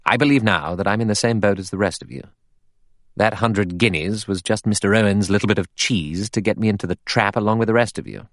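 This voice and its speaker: A man's voice: on the low side, fairly even intonation, fairly quick, fairly bright tone, dry recording, studio quality, close to an everyday voice. A man voicing a shrewd, grim adventurer in a storybook reading, with an emphatic, dramatic manner. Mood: grim, certain.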